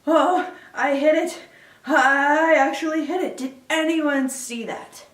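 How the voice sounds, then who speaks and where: A woman playing a sarcastic, awkward young Viking man in a dry, deliberate film-style recitation. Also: on the low side. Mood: animated protest. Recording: echoey room, distant mic.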